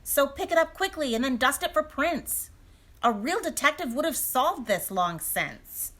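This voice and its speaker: An actress playing a dramatic, lovelorn mistress who speaks in pointed, theatrical rhyme in a comic audio drama. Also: female voice, high-pitched, sing-song, a little slow, a bit bright, full character voice. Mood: impatient, challenging.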